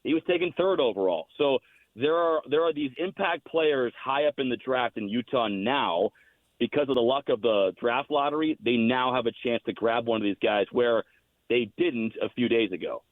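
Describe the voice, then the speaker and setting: An American man, a hockey play-by-play announcer, giving measured, emphatic explanations as a guest analyst in a sports interview. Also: medium pitch, no room sound. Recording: dull, phone-like lo-fi guest line.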